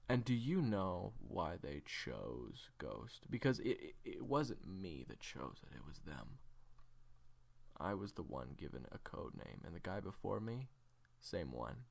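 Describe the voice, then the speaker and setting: A man playing a haunted, weary assassin in an audio roleplay, speaking in a halting, brooding way with dramatic pauses. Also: sing-song, exaggerated voice. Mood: agitated. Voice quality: gravelly, low. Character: haunted, weary male assassin raised as a nameless experiment.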